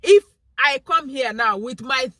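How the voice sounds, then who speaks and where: Young nigerian igbo woman vlogging, earnest and pleading, high lively voice, takes it slow. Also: dry recording, studio quality. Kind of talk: direct-to-camera vlog monologue.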